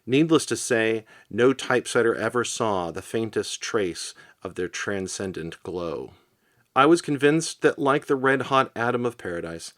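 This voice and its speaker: A man reading literary verse aloud in measured, deliberate phrases with pauses. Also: on the low side. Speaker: poetry reader.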